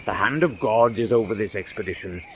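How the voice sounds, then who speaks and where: A man's voice: lowish voice, brisk, a bit bright, slight background noise, lightly acted. An actor playing a devout, trusting navy man in a radio drama, earnest and reassuring. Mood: calm faith.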